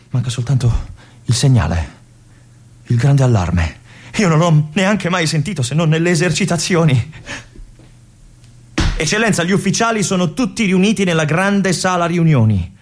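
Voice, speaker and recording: An actor playing a restless young army lieutenant in a theatrical monologue, with an intense, halting delivery that moves between hushed and urgent. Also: male voice, a little high, breathy edge. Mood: excited, then anxious, urgent. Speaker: stage actor performing a monologue.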